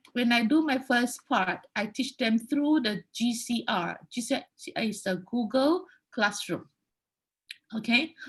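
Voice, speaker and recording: A woman's voice: a little high, fairly bright tone. A woman with a Malaysian accent, a teacher giving an explanatory presentation in an instructive way, often checking in with "okay" and "right". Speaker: high school English teacher giving a conference presentation.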